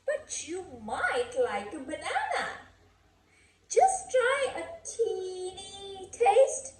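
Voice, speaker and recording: A woman hosting a children's storybook read-aloud, expressive and playful, with coaxing questions. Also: very high-pitched, extremely slow. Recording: echoey room.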